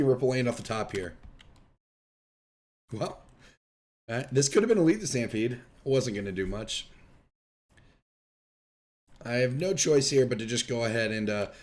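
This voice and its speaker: A young man talks through a card game match as host, his voice worn thin and going hoarse from illness. He sits at an ordinary middle pitch and keeps a loose, chatty, self-deprecating manner throughout. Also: a little mellow. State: losing his voice. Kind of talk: casual gameplay commentary.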